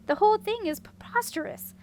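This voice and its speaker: A woman's voice: very high voice, animated, fairly quick, darkish tone, slight background noise, voice pushed up. A woman voicing a haughty, scheming male dragon who talks in an indignant, dismissive way.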